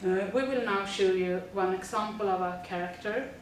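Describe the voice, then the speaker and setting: A woman's voice: medium pitch, normal speed, distant mic, slight background noise. Woman in an echoey room. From Sweden. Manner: steady, with frequent "uh" fillers. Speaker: teacher presenting a school project. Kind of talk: reading prepared narration.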